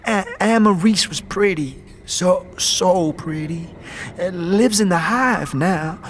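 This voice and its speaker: A man playing a broken, frightened, simple-minded vagrant in an audio drama, stammering and halting as he muddles through, speaking of himself in the third person. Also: high-pitched, a little hiss or hum. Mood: wistful.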